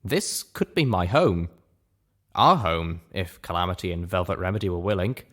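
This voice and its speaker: Deep voiced guy reading a story out loud, audiobook style, first person. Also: expressive, normal speed. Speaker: narrator.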